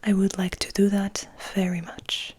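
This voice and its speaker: Young male interviewer in a gothic story, read by a woman. Keen and earnest, all in a hushed whisper. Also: medium pitch, level tone, moderate pace, husky, lightly acted. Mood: eager. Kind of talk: whispered audiobook reading. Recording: noticeable background noise.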